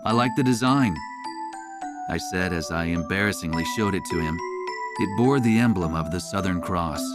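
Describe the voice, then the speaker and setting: A man performs a war correspondent narrating a video game story in a low voice. His delivery is measured and literary, with a reflective, world-weary temper. Loud music plays behind him throughout. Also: lightly acted. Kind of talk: scripted first-person game story narration.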